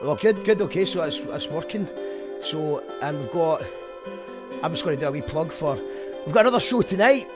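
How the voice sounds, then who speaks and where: A chatty Scottish man hosting a live stream, his voice a touch higher than most men's. He talks loosely and often starts sentences over. Background music plays clearly under him. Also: animated, normal speed. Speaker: host of an online live show. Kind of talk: casual live-stream chat.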